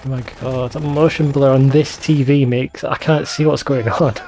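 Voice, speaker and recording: A man's voice: medium pitch, fairly even intonation, moderate pace, warm tone, slight background noise. A man hosting a let's play, giving casual game commentary with wry, sweary asides.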